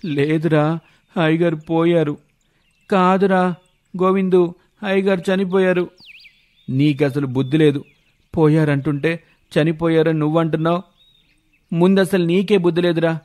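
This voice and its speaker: A man's voice: on the high side, steady tone, unhurried, long pauses, a little mellow, lightly acted. A man voicing two silly village simpletons who bicker childishly over which one is cleverer. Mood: squabbling. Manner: childish bickering, sing-song whine. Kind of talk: cartoon voice acting.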